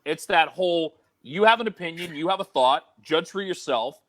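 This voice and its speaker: High-voiced animated male podcaster. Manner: animated storyteller, quick to joke. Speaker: podcast co-host, DJ. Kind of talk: casual chat.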